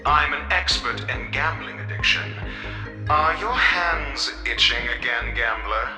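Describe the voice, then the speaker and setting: An actor playing a stern, condescending scholarly expert in a video game, disdainful and deadpan. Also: male voice, medium pitch, unhurried, roomy sound, in a large hall, over music, natural voice.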